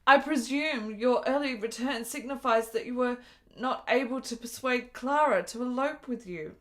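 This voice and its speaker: A high female voice from an actress as a frail, lonely invalid in a period radio play. She speaks delicately and formally, with an intense yearning and a wry edge. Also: normal speed, fairly bright tone, natural voice. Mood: gentle, wry. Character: frail, lonely, ailing woman of 1870s Italy, yearning, wry. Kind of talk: dramatic radio play dialogue.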